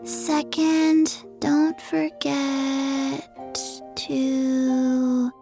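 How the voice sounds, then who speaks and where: A woman voicing a forgetful, earnest little girl in a video game, with a halting, deadpan delivery. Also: high voice, faint music behind, heavily acted. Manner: halting, very slow, flat delivery.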